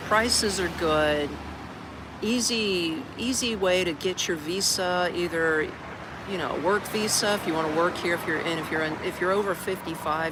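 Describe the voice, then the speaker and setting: Chatty expat from Florida, streaming outdoors. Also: medium pitch. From Orlando, Florida, United States. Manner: rambling and chatty, peppered with "like" and "man". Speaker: expat vlogger streaming live. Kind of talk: casual livestream chat. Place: outdoor garden café. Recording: outdoor stream with traffic noise. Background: outdoor ambience with traffic.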